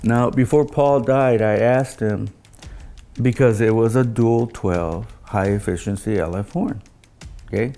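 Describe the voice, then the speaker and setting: Older male interviewee, mellow and crackly, halting anecdotal delivery, casual recollection. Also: mid-range voice, soft background music. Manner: halting, anecdotal storytelling with quoted dialogue. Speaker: loudspeaker engineer interviewee. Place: living room of a home, indoors.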